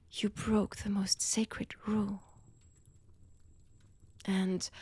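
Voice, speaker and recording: A woman's voice: mid-range voice, a little mellow, husky, no echo. A woman with a French accent playing a centuries-old vampire, wounded and obsessive, in an intimate roleplay audio, often dropping into whispers. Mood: bitter, despairing. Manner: slow, deliberate, theatrical with long pauses.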